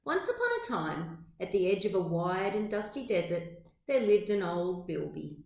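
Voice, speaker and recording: A woman's voice: lowish voice, big pitch swings, vocal fry, darkish tone. Echoey woman reading a storybook aloud. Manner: measured, deliberate, dramatic phrasing. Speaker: teacher reading aloud to children. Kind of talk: expressive storybook read-aloud. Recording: echoey room sound.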